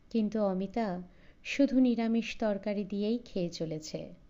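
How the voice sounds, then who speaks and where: Slow, mellow woman narrating a story. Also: medium pitch, highs cut off. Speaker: audiobook narrator. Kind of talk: scripted literary story narration.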